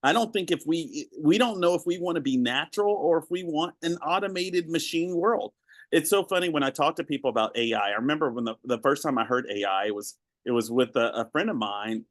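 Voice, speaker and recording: A man's voice: mid-range voice, fairly quick, no room sound. Earnest reflective man, dry close sound. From Arkansas, USA. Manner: earnest, reflective, repeats words when starting a thought. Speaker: guest. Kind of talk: conversational interview.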